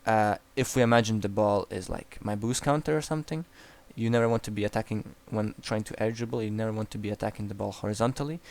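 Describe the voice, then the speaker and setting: Croatian guy coaching over a replay, kinda low voice, blunt, swears a lot. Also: darkish tone. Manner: blunt and profane, frequent restarts. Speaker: game coach. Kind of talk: replay analysis commentary.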